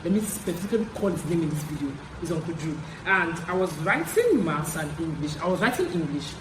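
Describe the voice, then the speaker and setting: A young adult woman with a Nigerian accent chats to her viewers in a casual storytelling style. She often repeats herself to drive a point home. The sound is roomy and echoey, with clear noise behind her voice. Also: fairly low pitch, a little fast. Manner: animated, chatty, repeats phrases for emphasis. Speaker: vlogger.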